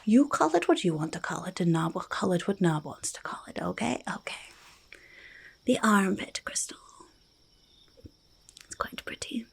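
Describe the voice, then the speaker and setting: A woman voicing a quirky, stubborn goblin who loves shiny treasures, in a halting, breathy, creaky ASMR whisper, speaking in third person. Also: on the low side, big pitch swings, exaggerated voice. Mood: stubborn bickering. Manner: halting, childlike third-person speech, easily argumentative. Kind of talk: soft-spoken ASMR roleplay, mostly whispered.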